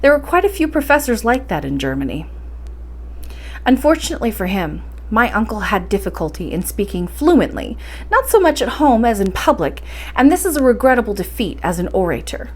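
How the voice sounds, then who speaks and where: A woman reads and presents stories for a library bedtime session. Her pitch moves around in a lively way, and her delivery is expressive and encouraging. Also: fairly quick. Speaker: library storytime reader. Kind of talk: bedtime story reading and introduction.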